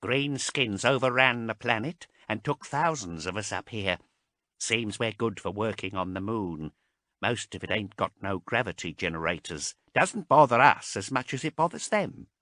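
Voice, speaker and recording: A man voicing a small, fearful male abhuman slave who talks in a hushed, pleading way, pitched well above his own voice. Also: lively intonation, moderate pace, no room sound, studio quality. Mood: resigned.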